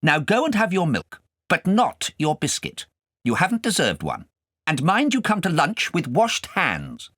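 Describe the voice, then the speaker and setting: Man putting on a haughty, high-pitched scolding governess. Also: wildly swooping pitch, a little slow, fairly bright tone, no echo, studio quality, full character voice. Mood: stern, scolding. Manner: imperious, scolding.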